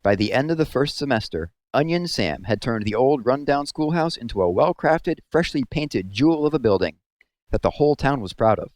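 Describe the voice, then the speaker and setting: A man narrating a story aloud as a scripted read-aloud, with measured, expressive phrasing. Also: medium pitch, no room sound.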